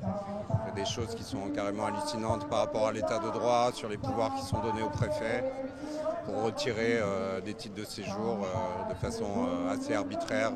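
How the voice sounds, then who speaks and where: A man's voice: fairly low pitch, normal speed. A male activist at a protest answering a street interview, hesitant and full of fillers. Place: outdoor street rally. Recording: loud music behind the voice.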